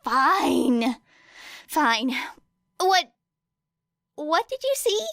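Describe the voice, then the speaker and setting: An excitable, dramatic man, acted out by a woman narrator, spluttering his protests in an audiobook. The pitch leaps far up and swings about, and the delivery is loud and exclamatory, with a dry close sound. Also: very slow, creaky, dry recording, heavily acted. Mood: exasperated. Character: flustered, excitable, dramatic man, a hero teacher. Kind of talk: audiobook character acting.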